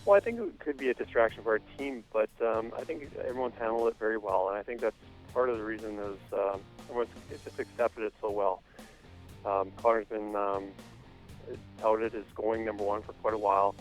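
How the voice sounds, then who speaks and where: A man with a fairly low voice gives a phone interview as a hockey coach. He speaks in a measured, hesitant way, feeling for his words. Faint music runs behind him. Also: rough recording.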